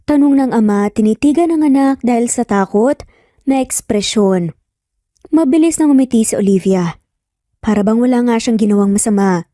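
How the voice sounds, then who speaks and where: A woman's voice: fairly high pitch, animated, moderate pace, mellow, no echo, clean studio sound. A woman with a Filipino accent reading a scripted story as a dramatic narrator.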